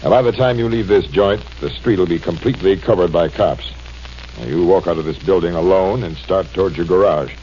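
A man playing a gruff police captain. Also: low-pitched, a little fast, mellow, slight background noise, lightly acted. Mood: dry. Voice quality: gruff, dry, matter-of-fact. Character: gruff, dry-humored male police homicide captain. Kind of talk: 1940s radio acting. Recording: dull old radio recording, faint music.

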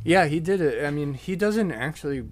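A man's voice: on the high side, animated, normal speed, soft background music. A man in his thirties, a musician being interviewed, talking casually in a rambling way with many fillers and restarts, in a band practice room. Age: 30s. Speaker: musician guest. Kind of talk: casual conversation.

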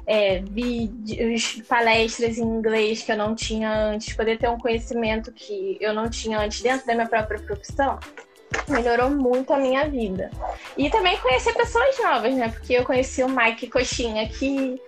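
A woman from Brazil chatting as an interview guest, animated and laughing, with many restarts. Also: a little high, moderate pace, faint music behind. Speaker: interview guest, English learner. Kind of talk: casual live interview chat.

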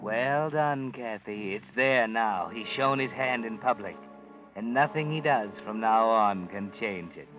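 An actor playing a cold, knowing narrator who addresses the killer in a measured, coolly mocking tone, in 1940s radio drama narration. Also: male voice, on the low side, lightly acted. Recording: tinny old radio broadcast with music bed.

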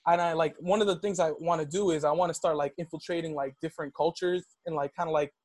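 A man's voice: fairly high pitch, fast talker, no room sound. A young man of Dominican background telling a personal story in casual conversation, full of "like" and "yo.". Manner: animated storytelling, heavy use of "like".

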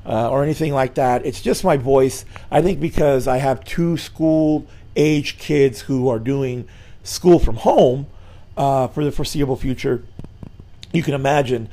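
A slightly hoarse middle-aged man hosting a weekly church show, giving an earnest, informal Bible lesson with many fillers and restarts. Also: medium pitch, unhurried, mellow. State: slightly hoarse, losing his voice.

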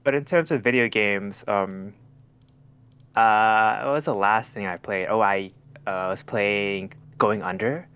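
A man from Thailand with a very low, deep voice chats casually as a guest on a call. He talks in halting bursts, restarting sentences and leaning on fillers as he gathers his thoughts. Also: fairly bright tone, clean tone. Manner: hesitant, many restarts and "like" fillers. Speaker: podcast guest. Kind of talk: casual chat over a call.

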